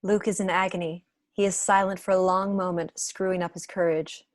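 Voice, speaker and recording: Woman narrating script directions, lowish voice. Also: level tone, fairly quick, no room sound, studio quality. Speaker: reader of stage directions. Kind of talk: scripted narration at an amateur table read. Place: home room, on a video call.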